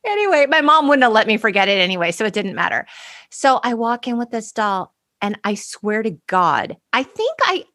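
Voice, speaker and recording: A woman's voice: on the high side, big pitch swings, normal speed, sharp tone, no room sound, studio quality. A middle-aged woman co-hosting a podcast, telling personal stories casually with a dramatic, self-deprecating manner. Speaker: podcast co-host. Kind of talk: animated personal storytelling, casual chat.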